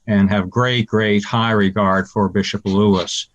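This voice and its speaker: A man's voice: lowish voice, level tone, measured pace, muffled recording. An older man, a former bishop from Pittsburgh, reflecting steadily on his upbringing. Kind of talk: personal reflection.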